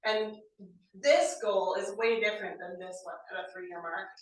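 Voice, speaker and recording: A woman in her forties giving a lively, conversational motivational training talk in an office meeting room, often checking in with her listeners. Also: mid-range voice, moderate pace. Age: 40s. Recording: very echoey room, mic far off, faint noise.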